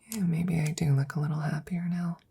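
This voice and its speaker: Devoted, worried female scientist, played by a woman, close-mic ASMR drama: lowish, mellow voice, soft whispering, rambling and tender toward the listener. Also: slight background noise, heavily acted. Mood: softly playful. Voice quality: breathy, often whispering. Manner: hesitant, rambling, gently reassuring. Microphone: close microphone.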